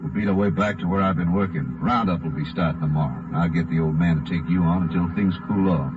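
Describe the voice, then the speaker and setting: Actor as a deep-voiced gruff bank robber cowboy. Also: male voice, quick pace, natural voice. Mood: bossy. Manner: terse, bossy, folksy cowboy talk. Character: gruff, threatening male bank robber cowpoke. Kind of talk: 1950s radio western acting. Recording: noisy muffled 1950 radio recording.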